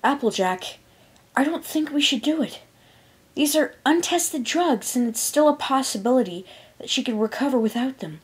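Serious unicorn mare for a cartoon story, lightly raised pitch, careful delivery, voiced by a woman. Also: animated, a little mellow, slightly rough. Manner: measured, cautious. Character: careful, grave young female unicorn.

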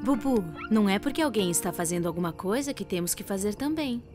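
A woman playing a wise, caring mother owl in a children's cartoon, gently guiding in a motherly way. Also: medium pitch, animated, quick pace, music in the background, close to an everyday voice. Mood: gently admonishing. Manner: gentle, admonishing.

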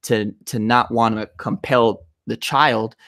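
Man hosting a casual chat, medium pitch. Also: steady tone, slow, fairly bright tone. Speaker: podcast host. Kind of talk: casual conversation. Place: indoor room, video call. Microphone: desktop microphone with headphones.

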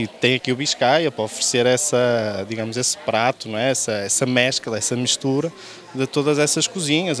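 A man being interviewed with a handheld mic in a restaurant dining room, speaking hesitantly, searching for words and restarting phrases. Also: mid-range voice. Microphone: handheld reporter mic.

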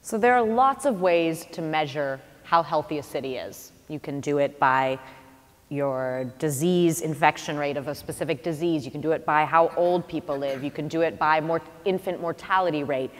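A woman's voice: low-pitched, normal speed. A woman moderating a panel on a theater stage, posing questions to the speakers. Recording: long hall reverb from a theater.

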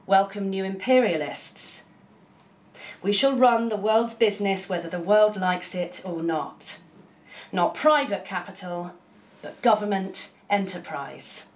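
A young adult woman giving a measured, declamatory reading of poetry as one of two voices, in a small cafe. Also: mid-range voice. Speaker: poetry reader. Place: small indoor cafe with bookshelves.

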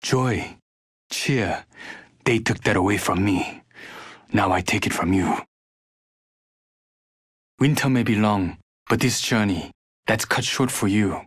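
A brooding male video game villain, low and rough-voiced. He is bitter and vengeful, measuring out each threat. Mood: bitter menace. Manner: deliberate, menacing. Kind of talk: video game taunt lines.

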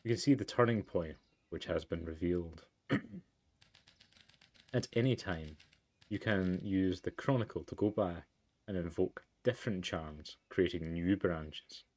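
Low-voiced man playing a story game. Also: measured pace, darkish tone. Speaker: let's player. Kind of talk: game commentary and reading narration aloud. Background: game music.

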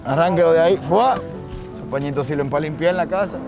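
A man's voice: a little high, fast talker, over music. A man, chatting playfully on a casual vlog, from a car and a home patio. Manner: jokey, playful, talks to camera. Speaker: vlogger host.

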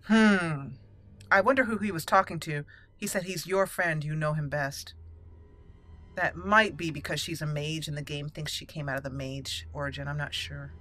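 A woman hosting a roleplay let's play, musing deliberately and slipping into her character's dialogue. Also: deep voice, fairly even intonation, fairly bright tone, soft background music. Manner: musing, deliberate, thinking aloud. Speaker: gaming channel host roleplaying a character.

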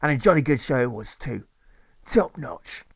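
A man's voice: mid-range voice, lively intonation, normal speed, slightly rough, no echo, clean studio sound. A man voicing a boastful army drill sergeant telling a hearty war tale with tag questions.

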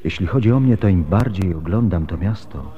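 A man's voice: low-pitched, animated, brisk, dark tone, muffled recording, faint music behind. An actor playing a worried, protective Byzantine husband and father in a radio drama, speaking earnestly. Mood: grave, pondering.

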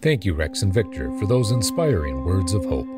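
A man's voice: low-pitched, very animated, moderate pace, loud music behind the voice. A man announcing for a radio drama from a script.

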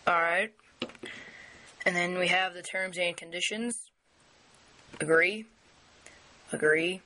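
A man's voice: high voice, steady tone, slow, pushed and bright, a little hiss or hum, poor audio. A young man who is losing his voice, walking viewers through a phone setup in a casual, rambling way with many fillers. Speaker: tech video maker. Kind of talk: casual screen walkthrough. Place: indoors at a wooden table. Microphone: camera pointed down at a device on a table.

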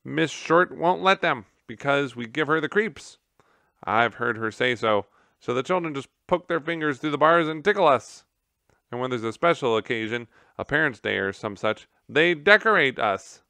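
A man voicing a small, excitable male gerbil who squeaks and prattles quickly. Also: creaky, no room sound. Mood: prattling.

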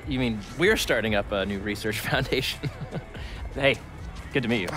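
An actor playing an easygoing, upbeat young best friend chatting casually in a video game. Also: male voice, mid-range voice, normal speed, music in the background, natural voice. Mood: friendly.

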